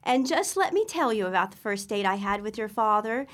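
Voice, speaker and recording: A woman's voice: medium pitch, expressive, a little fast, a bit bright, lightly acted. A woman playing a fretful but caring middle-aged mother in a radio comedy, pleading earnestly. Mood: soothing. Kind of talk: old-time radio situation comedy acting.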